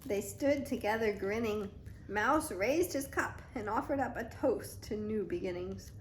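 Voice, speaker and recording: A woman reading a picture book aloud as a mother to a toddler, in an expressive, dramatic storytime style. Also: medium pitch, measured pace, a little hiss or hum. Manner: dramatic, child-directed.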